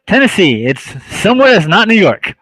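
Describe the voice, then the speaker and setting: A man's voice: sits high, big pitch swings, fairly quick, fairly bright tone, no echo, rough recording. A man who hosts radio, chatting casually as a guest on a talk show. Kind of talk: casual chat.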